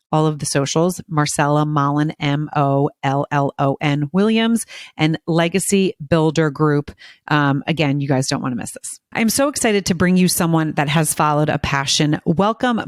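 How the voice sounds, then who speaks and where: A woman's voice: sits low, no room sound, studio quality. A woman hosting a podcast, chatty and enthusiastic as she introduces and interviews a guest. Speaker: podcast host.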